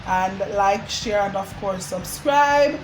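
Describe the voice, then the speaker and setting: A woman's voice: medium pitch, slow pace, a little hiss or hum, low-quality recording. A woman with a Jamaican accent chatting informally to viewers as a vlog host, slipping into Patois as she demonstrates homemade drinks. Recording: music over the talk. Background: music.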